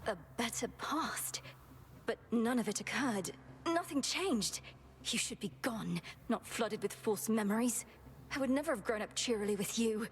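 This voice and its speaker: A proud, wounded young princess speaks with formal, commanding intensity. Her voice sits a little high over faint background noise, in dramatic video game style. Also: lively intonation, moderate pace. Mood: hurt, angry. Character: determined young underworld princess, hurt and proud.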